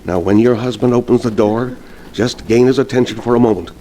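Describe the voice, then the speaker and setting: An actor playing a grave, decisive doctor in an old-time radio drama, earnest and authoritative. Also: male voice, mid-range voice, fairly even intonation, brisk, dark tone, a little hiss or hum, lightly acted, poor audio. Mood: grave, urgent. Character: grave, decisive male doctor fighting a supernatural evil.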